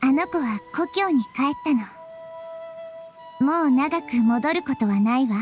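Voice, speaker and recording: A woman voicing a young-looking, wise goddess who speaks softly and tenderly, as a game character. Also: sits high, animated, measured pace. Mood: gentle. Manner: soft, tender, reassuring. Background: game music.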